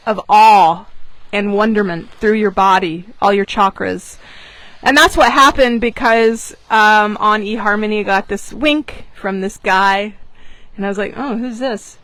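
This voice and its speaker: A woman who grew up in England with American parents tells a personal story as a manifestation coach, expressively, with dramatic pauses for emphasis. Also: mid-range voice, fairly bright tone, no echo, rough recording. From born in Japan, grew up in England, American parents. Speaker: manifestation coach and class teacher. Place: outdoors on a beach.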